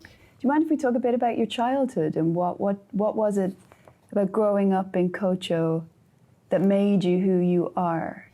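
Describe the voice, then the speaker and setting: A woman interviewer asking gentle, measured questions. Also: medium pitch.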